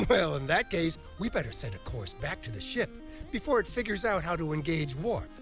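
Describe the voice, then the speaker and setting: An easygoing starship commander out of a video game cutscene acted by a man. The voice sits high for a man and has a gravelly creak running through it. Faint music plays behind the lines. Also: very animated, moderate pace, fairly bright tone. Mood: amused, realizing. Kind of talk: scripted game cutscene dialogue.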